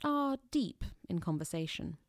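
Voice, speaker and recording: A woman narrating an audio play in a measured, atmospheric, poetic style. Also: lowish voice, sing-song, dry recording, studio quality.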